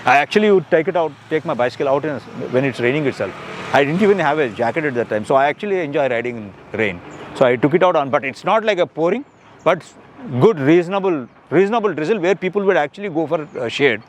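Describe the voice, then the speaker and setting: Indian guy late 50s, talks fast and rambles, repeats himself, bit of street noise behind. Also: on the high side, animated. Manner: rambling, repeats words and phrases for emphasis. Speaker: customer giving a product review. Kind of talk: casual unscripted interview chat.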